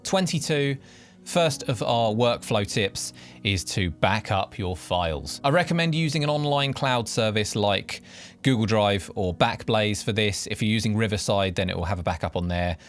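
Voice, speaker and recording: A man's voice: lowish voice, moderate pace, soft background music. A man presenting scripted tutorial narration in an informal, explanatory way, giving stress to key headings. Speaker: presenter of podcast production tips.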